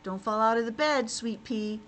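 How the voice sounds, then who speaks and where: A woman's voice: fairly high pitch, normal speed, natural voice. A woman voicing mocking young piglet siblings in a storybook, with a taunting singsong manner. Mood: teasing. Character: mocking young piglet siblings teasing their little brother. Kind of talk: storybook read-aloud. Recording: clearly noisy background.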